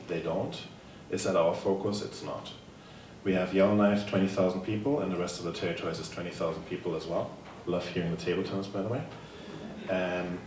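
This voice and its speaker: A man from Germany with a German accent gives a conference presentation, telling stories in a casual, upbeat way and answering questions. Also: low voice. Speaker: table tennis program leader presenting. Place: conference room. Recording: echoey room, from far off, noisy.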